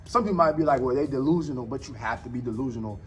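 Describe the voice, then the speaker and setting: A man's voice: medium pitch, rapid-fire. Laid-back young man, slangy host. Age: 20s. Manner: laid-back slang, calls people "bro" and "dog". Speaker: podcast host. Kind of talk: casual chat.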